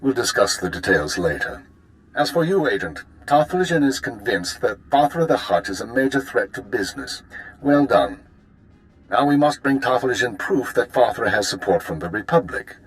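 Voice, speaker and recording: A man playing a calculating intelligence spymaster in a video game, speaking in a measured, formal, authoritative way. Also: mid-range voice, sing-song, poor audio. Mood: commanding. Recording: music under the voice.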